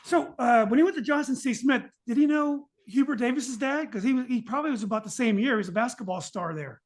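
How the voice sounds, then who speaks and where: A man's voice: very high voice, fast. Rambling podcast host man. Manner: rambling questions with many fillers. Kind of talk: casual interview questions.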